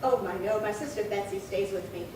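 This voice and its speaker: A woman's voice: mid-range voice, a little fast, a little mellow, natural voice. A woman acting a prim, chatty, indignant old lady in her late seventies in a 1950s-style radio crime drama, fussy and rambling. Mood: peevish. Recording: very echoey, distant, noisy room.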